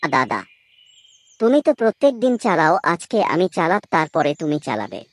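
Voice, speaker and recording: A woman's voice: low voice, sing-song, normal speed, dry recording. A woman voicing the youngest son of a farmer who argues in a whiny, insistent way.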